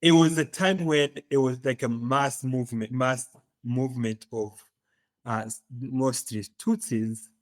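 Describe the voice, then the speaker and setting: Halting, unhurried East African man. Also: mid-range voice, fairly even intonation, dry recording. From Uganda. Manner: halting, pausing to search for words. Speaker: podcast guest. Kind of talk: personal storytelling in an interview.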